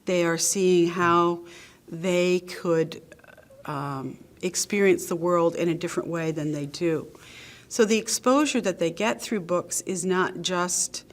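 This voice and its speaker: A woman being interviewed as a nonprofit's executive director. She explains her program in a measured, earnest way, with an occasional um or uh. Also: on the low side.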